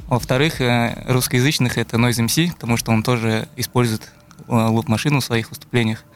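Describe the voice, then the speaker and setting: A man's voice: medium pitch, fairly even intonation, a little fast, slight background noise. A young man from Buryatia, a guest musician, answering interview questions thoughtfully and searching for his words. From Buryatia, Russia. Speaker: guest musician, beatboxer and looper.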